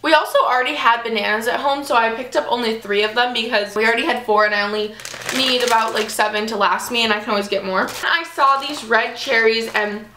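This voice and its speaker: Chatty bright young woman vlogging. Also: a little high, fairly quick, a little hiss or hum. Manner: chatty, upbeat, lots of "like". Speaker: fitness vlogger. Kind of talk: casual grocery haul vlog. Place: small bedroom, indoors. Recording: echoey room.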